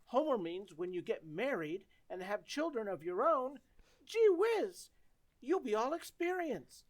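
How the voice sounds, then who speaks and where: Woman playing flustered teenage boy, whiny, pleading, pitch leaping about, radio comedy, dry sound. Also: very animated, measured pace, no room sound. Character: excitable, anxious, exasperated teenage boy Henry. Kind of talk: old-time radio comedy acting.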